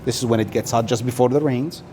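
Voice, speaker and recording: A man from Saudi Arabia, a native Arabic speaker, answering casually in an interview and often pausing and repeating words as he explains. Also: mid-range voice, warm tone, a little hiss or hum. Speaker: interview guest.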